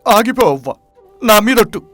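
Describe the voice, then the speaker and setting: A man's voice: sits high, very animated, normal speed, a bit bright, soft background music. A man voicing a devoted, dying grandson in a story, pleading with strained desperation. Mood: desperate, pleading.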